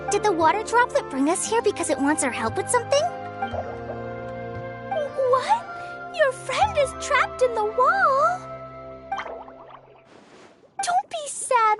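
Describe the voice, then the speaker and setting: Curious little game girl, super high sweet childlike voice, really swoopy and exclamatory. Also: heavily acted. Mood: excited, then earnest. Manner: exclamatory, wide-eyed. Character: small excitable young girl adventurer, sweet and curious. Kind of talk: animated video game voice acting. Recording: music under the voice.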